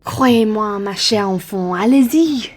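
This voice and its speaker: A woman's voice: mid-range voice, takes it slowly, a little mellow. A woman playing a courteous, condescending upper-class traveller in an amateur radio play, formal and persuasive. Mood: polite, persuasive.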